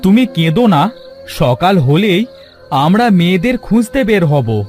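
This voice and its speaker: A man's voice: high-pitched, very animated, a little slow, darkish tone, faint music behind. A man voicing a village farmer in a cartoon. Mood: consoling. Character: village farmer and father, gruff, threatening or consoling. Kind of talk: cartoon voice acting.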